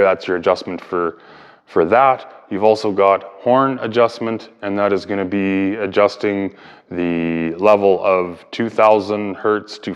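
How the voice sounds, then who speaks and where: Male hobbyist host, low clean voice, informal hands-on explainer delivery, thinking aloud, reverberant room sound. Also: clear, resonant tone, highs cut off. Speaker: hobbyist video host and speaker restorer. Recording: echoey room with long reverb.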